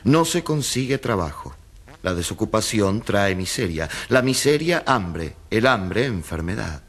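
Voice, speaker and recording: Grave, low-voiced medical expert. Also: male voice, sing-song, fairly bright tone, heavily acted. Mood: emphatic, sombre. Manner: emphatic, didactic, measured declarations. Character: grave male doctor and professor testifying as expert witness. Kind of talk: Spanish-language radio courtroom drama.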